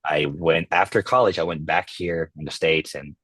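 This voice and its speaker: A man raised in the Philippines, a guest chatting casually in an interview, halting with many fillers and restarts. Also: rumbling low, steady tone, fairly bright tone, slightly rough, no echo. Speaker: podcast guest, content creator.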